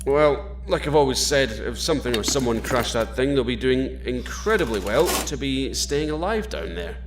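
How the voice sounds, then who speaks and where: An actor playing a calm male spaceship captain with a dry sense of humor, in an audio drama. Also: medium pitch, normal speed, lightly acted. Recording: noisy background ambience.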